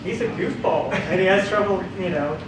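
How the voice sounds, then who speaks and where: A man's voice: high voice, moderate pace, crowd noise. A married man giving candid, rambling feedback in a group discussion, recorded from across an echoey room. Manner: rambling, candid, many restarts. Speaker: audience member giving feedback. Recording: echoey room from a distance with noise.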